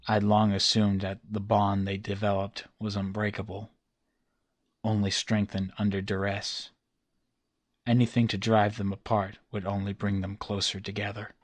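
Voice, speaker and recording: Detached, weary scientist narrator for a comic dub, man acting, low and monotone, measured, formal delivery. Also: no echo, natural voice. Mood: somber. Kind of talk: scripted dramatic narration.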